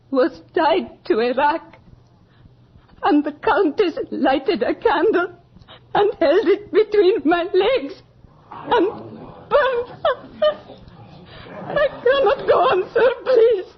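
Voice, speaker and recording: An actress playing a frightened young peasant seamstress in a radio horror drama, halting, tearful and pleading. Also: female voice, very high voice, lively intonation, exaggerated voice. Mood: tearful distress. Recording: dull lo-fi old radio recording.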